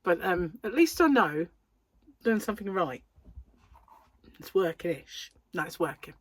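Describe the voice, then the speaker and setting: A middle-aged British woman with a wheezy breath, chatting casually to camera as host of a crochet channel, self-deprecating and quick to laugh. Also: on the low side, sing-song, slightly rough, no echo. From United Kingdom.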